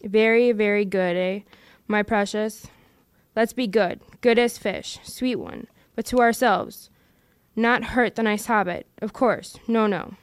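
A woman's voice: mid-range voice, measured pace, clean tone, no echo, studio quality, natural voice. A woman voicing a wretched, split-minded male creature who wheedles and argues with himself in an audiobook reading. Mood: wheedling. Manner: wheedling, hissing self-argument.

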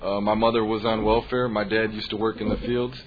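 A man from the United States, a Spanish teacher, speaking earnestly and measuredly with frequent pauses in a panel discussion. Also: lowish voice, level tone. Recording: dull lo-fi recording, faint noise.

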